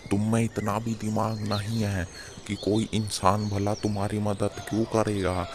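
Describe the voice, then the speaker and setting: A rustic village hunter acted by a man, low-voiced and flat in tone. He is blunt and distrustful, a cartoon fable character heard over clear background noise. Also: natural voice. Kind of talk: Hindi animated moral story dialogue.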